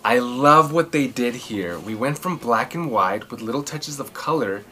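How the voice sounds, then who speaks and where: A man in his thirties hosting a children's read-aloud, telling stories and chatting in a theatrical, emphatic way with drawn-out words. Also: mid-range voice, expressive, sharp tone, slightly rough. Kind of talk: expressive storytelling and chatty commentary for children. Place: small furnished room indoors.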